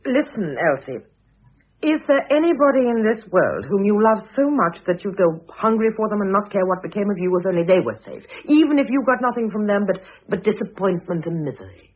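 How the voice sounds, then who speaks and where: Husky, cutting middle-aged society wife. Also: female voice, medium pitch, big pitch swings. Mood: probing, earnest. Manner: deliberate, dry, pointed. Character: older, shrewd, cutting society wife, coolly probing. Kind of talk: classic radio drama acting. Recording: muffled phone-like old radio recording.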